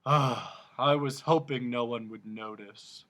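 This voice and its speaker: A man voicing a blustering, gloomy middle-aged king who booms out commands. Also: slow, fairly bright tone. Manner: booming, commanding.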